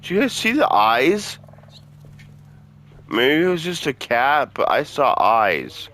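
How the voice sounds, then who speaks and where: A man's voice: medium pitch, big pitch swings, takes it slowly, fairly bright tone. A man giving casual let's-play commentary, talking informally to his viewers and swearing freely. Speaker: gaming video host.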